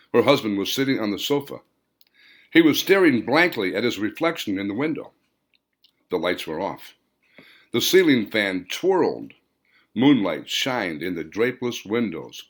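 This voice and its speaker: A lower-pitched male narrator reads fiction as a dramatic audiobook. His pitch moves widely as he goes. He phrases deliberately, stretching key words for emphasis. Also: a bit bright. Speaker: author reading own novel.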